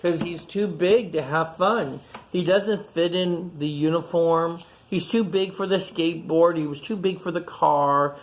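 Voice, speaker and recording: Low-pitched woman reading to young children. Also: measured pace, few pauses. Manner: gentle encouraging questions, simple repetitive explanations for children. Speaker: children's storytime reader. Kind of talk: picture-book read-aloud and simple chat to young children.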